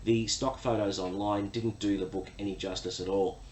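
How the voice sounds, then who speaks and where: Deep nasal guy with a cold chatting about a book, echoey room, faint hiss. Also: steady tone, a little mellow. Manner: enthusiastic, measured, frequent uh fillers. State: getting over a cold. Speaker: book reviewer. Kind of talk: casual spoken review.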